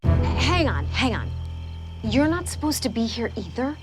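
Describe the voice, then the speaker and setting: A woman's voice: fairly high pitch, very animated, unhurried, soft background music. A woman in her thirties in a sitcom scene, talking bluntly and sarcastically. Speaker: sitcom character. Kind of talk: sitcom acting.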